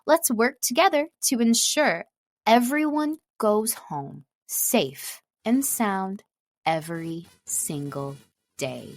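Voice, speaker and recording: A woman delivering scripted voice-over narration as a voice artist, articulating clearly and reading in a measured way. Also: mid-range voice, lively intonation, no room sound.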